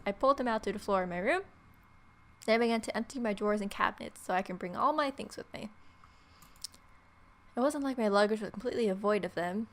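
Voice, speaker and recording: A woman hosting a let's play, reading a visual novel aloud and adding wry, playful asides. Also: mid-range voice. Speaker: gaming video host. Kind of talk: let's play, reading protagonist's lines and ad-libbing.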